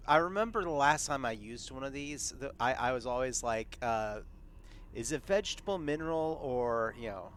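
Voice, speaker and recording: A man playing a tabletop roleplaying game, chatting casually and haltingly, repeating words as he thinks. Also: mid-range voice, expressive, fairly bright tone, clear, resonant tone. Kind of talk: casual in-character game chat.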